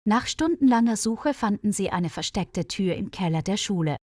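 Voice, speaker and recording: A woman's voice: medium pitch, animated, moderate pace, a little mellow, no echo. A woman reads a scripted mystery tale as a storyteller. The recording is very dry, with no room sound. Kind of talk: scripted narration of a mystery story for German learners.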